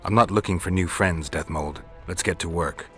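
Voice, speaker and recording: An actor playing a gruff, sardonic monster hunter in a video game, terse and deadpan, with a gravelly voice. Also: male voice, deep voice, moderate pace, faint music behind. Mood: dry sarcasm. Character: gruff, sardonic monster-hunting warrior for hire. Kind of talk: video game dialogue acting.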